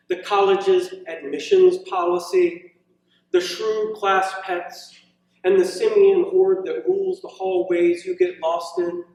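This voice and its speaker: A man giving a live poetry reading, moving between measured verse and informal, hesitant asides, recorded in an echoing room. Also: sits high, darkish tone. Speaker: poet reading own work. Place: indoor college reading event, echoing room. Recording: very echoey room, distant mic.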